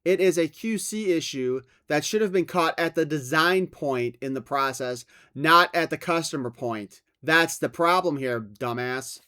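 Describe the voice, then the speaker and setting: Blunt, irritated man with a clear voice. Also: on the high side, measured pace, few pauses, pushed and bright. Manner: irritated, sweary. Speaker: commentator. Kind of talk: blunt, sarcastic commentary.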